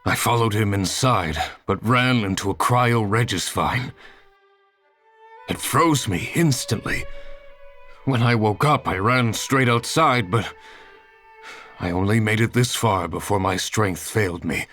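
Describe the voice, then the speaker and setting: A man voicing a weakened, grateful traveler in a video game, speaking in a halting, earnest way. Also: fairly low pitch, a bit bright, soft background music. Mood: relieved, grateful. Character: weakened, grateful male traveler rescued from freezing. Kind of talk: video game character acting.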